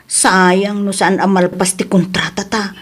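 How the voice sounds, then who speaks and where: A woman's voice: mid-range voice, level tone, measured pace, slightly rough. A woman playing an anxious, nagging housemaid who frets over her co-worker in a comedy radio drama. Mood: worried, scolding.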